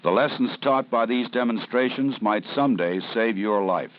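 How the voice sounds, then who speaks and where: A man narrating a 1970s police training film in a measured, serious, instructive way. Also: medium pitch, no echo. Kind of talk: scripted documentary narration. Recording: dull 1970s film soundtrack with faint hiss.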